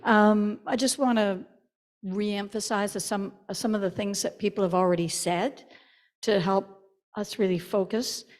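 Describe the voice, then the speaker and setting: A woman speaking from the floor at a church meeting, earnest and halting with many fillers, into a handheld microphone. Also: mid-range voice, fairly even intonation. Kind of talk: public comment at a parish meeting.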